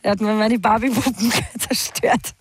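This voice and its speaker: Woman, chatty, giggly, fillers and restarts, slightly high voice. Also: steady tone, normal speed, breathy edge. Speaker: singer, interview guest. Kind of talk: casual interview chat.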